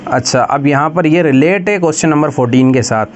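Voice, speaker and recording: A man with a Pakistani accent giving an explanatory exam-prep lecture, slowing down to read questions deliberately and then explaining them. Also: a little high, slight background noise. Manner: switches between Urdu explanation and slow, deliberate English reading. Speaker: lecturer for law exam preparation.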